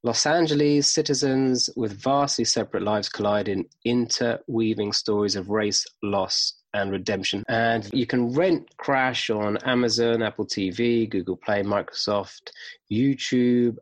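A man's voice: fairly low pitch, a little slow, no echo. A man co-hosting a film review podcast, chatting casually and rambling with restarts. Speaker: podcast co-host.

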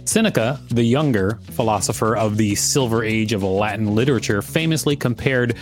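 A man's voice: fairly low pitch, moderate pace, soft background music. A man co-hosting a podcast, reading encyclopedia text and bantering casually. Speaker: podcast host.

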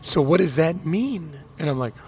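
A man from Miami hosting a casual podcast, an animated storyteller who likes to rant and act out quotes. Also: a little high, normal speed. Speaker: podcast host.

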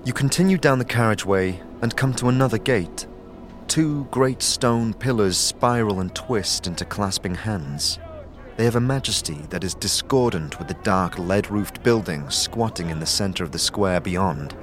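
A man narrating an audio drama in the second person, measured and descriptive, over a music bed. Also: lowish voice.

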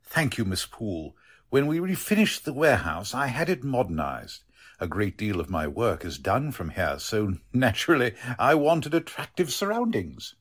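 A fussily formal warehouse manager from a 1940s radio mystery. His husky voice swings up and down as he politely, hesitantly explains and defends himself. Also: mid-range voice, very animated, normal speed. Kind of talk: 1940s radio drama acting.

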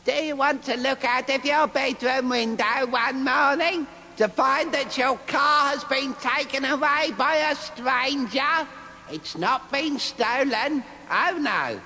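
A shrill, high-pitched young political zealot, speaking slowly and with sharp, bright edges. He lectures with preachy indignation, and the line sits in a roomy space with a long tail of reverb and a faint hum behind it. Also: exaggerated voice. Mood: indignant. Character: young male right-wing politico, smug and shrill. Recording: roomy sketch clip with faint ambience.

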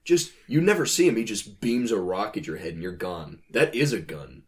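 A man with a fairly low voice co-hosts a casual podcast. He talks in a wry, joking manner, with very lively intonation as he speculates conversationally. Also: moderate pace. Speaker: podcast co-host.

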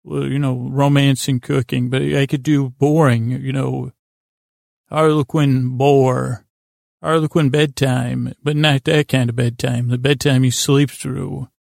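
Creaky-voiced man rambling through a bedtime podcast. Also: medium pitch, little pitch movement, a little mellow, no echo, studio quality. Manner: meandering, digressive, halting and self-interrupting. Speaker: podcast host.

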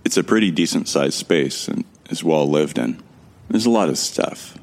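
A man's voice: a bass voice, expressive, moderate pace, gravelly, full character voice. An actor playing a friendly amnesiac man who narrates his story in a conversational, wry first-person style. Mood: observant, urgent. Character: Jake Fisher, amnesiac man, observant and friendly. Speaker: narrator and lead character of an audio drama.

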